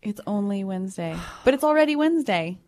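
A woman hosts a podcast and chats casually with a friend. Her voice stays fairly monotone, and her humor is dry and blunt, with frequent laughs. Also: mid-range voice, fast talker. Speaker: podcast host.

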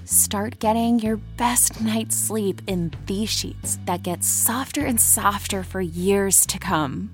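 A woman reads a scripted ad in a soft, inviting tone. Her voice sits at a middle pitch. Faint music plays underneath. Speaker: ad reader. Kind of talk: scripted advertisement read.

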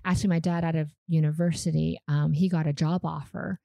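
Woman, 30s, from Canada, Vietnamese first language; deep, warm, slightly gravelly, dry close sound, casual storyteller. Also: a little fast, dry recording. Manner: relaxed, chatty, frequent fillers and small laughs. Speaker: guest.

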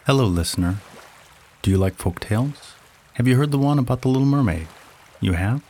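A man reading a scripted promo for his storytelling podcast in a gentle, soothing way. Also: medium pitch, expressive, a little fast, faint music behind.

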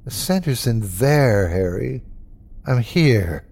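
Guy acting out a dying man in a horror story, gravelly strained voice, anguished and halting, slow. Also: mid-range voice, very animated, a little mellow, exaggerated voice. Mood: dread, awe. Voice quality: strained, sometimes whiny or growling. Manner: halting, anguished delivery in short bursts. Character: dying man, frightened, awed, turning bitter and hateful. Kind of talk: dramatic horror story reading.

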